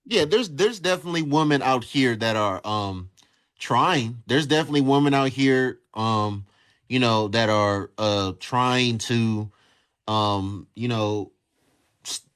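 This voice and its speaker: A man's voice: mid-range voice, measured pace, a bit bright, clear, resonant tone. A man hosting a casual call-in livestream, talking emphatically and repeating phrases to drive points home. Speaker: livestream host. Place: livestream on a social app.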